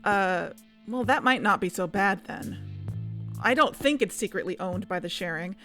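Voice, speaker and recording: A woman playing a skeptical, level-headed podcast investigator in an audio drama, speaking in a measured, cautious way. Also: mid-range voice, sharp tone, soft background music, close to an everyday voice. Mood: skeptical, reassuring. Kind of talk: conversational audio fiction acting.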